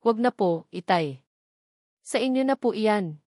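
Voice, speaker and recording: A woman voicing a young woman who speaks politely to her father and forces her voice to stay steady in an audio drama. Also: mid-range voice, measured pace, no echo, studio quality, natural voice. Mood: tender. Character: young woman fleeing home, brave and tender.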